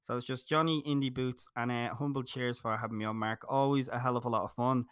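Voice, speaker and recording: A man's voice: medium pitch, steady tone, fast, fairly bright tone, smooth, no echo, studio quality. An Irish man talking as a guest in a casual podcast chat, joking and emphatic. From Ireland.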